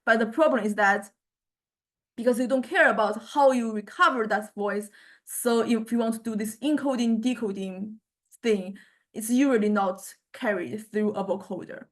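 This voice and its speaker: A woman with a Chinese accent and a fairly high-pitched voice teaches an informal software class. She speaks haltingly, repeating words as she goes and often adding small checking tags. She talks into a small condenser microphone. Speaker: university lecturer in music technology. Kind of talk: informal software tutorial lecture.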